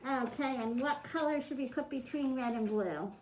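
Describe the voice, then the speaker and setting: A high-pitched woman's voice, recorded in a roomy, echoey space with noise in the recording. She guides children through a craft one step at a time, in simple words and with an encouraging manner. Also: normal speed. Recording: roomy echo, clearly noisy, clicking.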